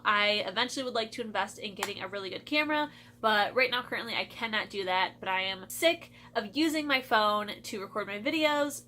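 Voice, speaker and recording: A young woman with a bright, somewhat high voice chats casually through her reading vlog. Her nose sounds a bit stuffed up, and she leans on fillers like "like" and "I feel like". A faint hum sits under the recording. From Michigan, USA. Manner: chatty, upbeat, lots of fillers like "like" and "I feel like". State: stuffy, congested. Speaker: book vlogger.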